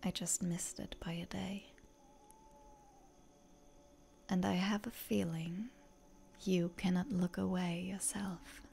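A woman playing a seductive, genteel lady vampire in a breathy, coaxing whisper for roleplay ASMR. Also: lowish voice, flat delivery, unhurried, darkish tone, slight background noise. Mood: soft, fascinated.

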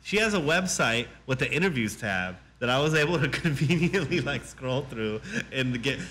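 A man's voice: medium pitch, lively intonation, normal speed, pushed and bright. A man in his early thirties from Afghanistan hosting a casual radio interview, enthusiastic, swearing casually and repeating himself. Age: 30s. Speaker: radio host.

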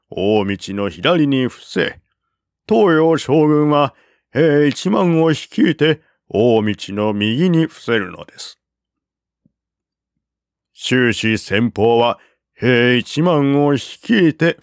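A man's voice: mid-range voice, dry recording, clean studio sound. Man putting on a confident ancient war strategist, eager, storybook reading. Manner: animated, proposing and commanding. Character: bold male military strategist laying out an ambush.

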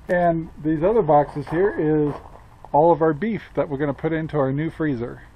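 A man's voice: fairly high pitch, steady tone, moderate pace, lo-fi sound, a little hiss or hum. An older man chatting casually to a handheld camera outdoors on his rural homestead, rambling with lots of fillers and little chuckles. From Central Oregon, USA. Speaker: homestead vlogger. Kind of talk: casual vlog talk to camera. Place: outdoors on a rural property and in a garage. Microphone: handheld camera at arm's length.

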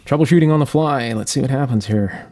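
A man's voice: medium pitch, fast talker, darkish tone, a little hiss or hum. Methodical man explaining a hands-on repair. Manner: methodical, thinking aloud. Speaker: host of an electronics repair channel. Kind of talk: unscripted hands-on repair demonstration, explaining each step. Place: indoor workshop bench.

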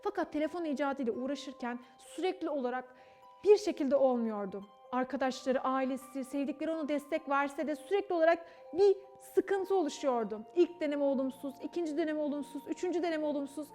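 Young woman, high-pitched, theatrical and emphatic children's storyteller, music underneath. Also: a little fast, mellow. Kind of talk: animated children's story narration. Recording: music behind the voice. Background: background music.

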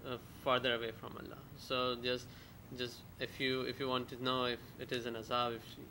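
A man's voice: medium pitch, monotone, fairly quick, sharp tone, a little hiss or hum. A man in the audience tentatively asking whether his thinking is right. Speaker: audience member asking a question. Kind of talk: asking a question.

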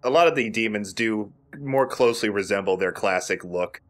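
A man's voice: on the low side, moderate pace, fairly bright tone. A man giving casual commentary as he plays and hosts a video game let's play. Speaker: gaming let's play host, player.

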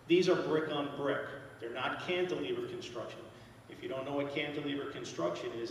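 A man's voice: mid-range voice, slight background noise. Brief: New man, 50s, blunt engineer at a podium, recorded from far back in a big hall. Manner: loud, direct, plain-spoken. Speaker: engineer speaking at a town hall meeting. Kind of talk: public presentation at a podium. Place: large school auditorium. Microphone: podium microphone. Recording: echoey hall, heard from far off.

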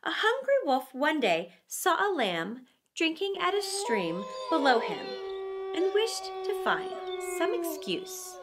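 A middle-aged woman reading aloud to children as a storyteller, with theatrical, deliberate phrasing. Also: very high-pitched, big pitch swings, fairly bright tone. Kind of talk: expressive read-aloud for children.

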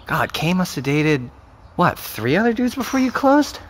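A man's voice: high-pitched, very animated, normal speed. A man playing a defensive, sardonic seventeen-year-old boy with an American accent in a naturalistic audio drama. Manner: dry, defensive. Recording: clear background ambient noise.

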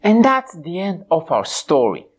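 A man in his forties from Romania reading a children's storybook aloud as an expressive host, drawing out his words. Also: on the high side, measured pace, slightly rough. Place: small room indoors.

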